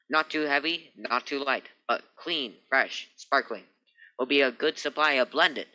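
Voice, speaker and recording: Period radio role for a man: hearty pitchman, very sharp forceful tone, slightly high, punchy salesmanship. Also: low-quality recording. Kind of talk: 1940s radio advertising.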